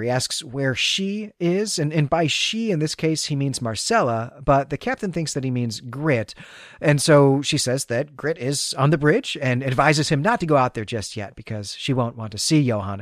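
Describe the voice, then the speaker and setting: A man with an average-pitched voice gives a story recap as a podcast co-host. He is informal and conversational, adjusting his wording as he goes. The recording is dry, without audible room reflections. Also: no echo. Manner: informal, self-correcting.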